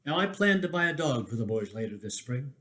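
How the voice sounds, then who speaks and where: A man's voice: medium pitch, big pitch swings, fairly quick, a bit bright. A man reading a first-person essay aloud as an emphatic, rhetorical storyteller. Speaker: reader of a written personal chronicle.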